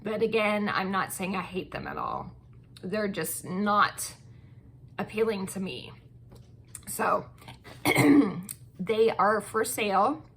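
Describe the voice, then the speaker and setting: A woman's voice: mid-range voice, lively intonation, slow pace, pauses often, a bit bright, slight background noise. A woman hosting her own channel, chatting casually and gushing with many ums while losing her voice. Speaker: channel host reviewing wax melts. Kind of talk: casual product review.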